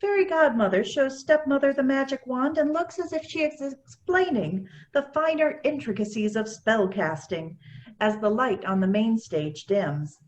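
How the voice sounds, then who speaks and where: A woman's voice: sits high, normal speed, low-quality recording. A woman reading stage directions as scripted narration for a play.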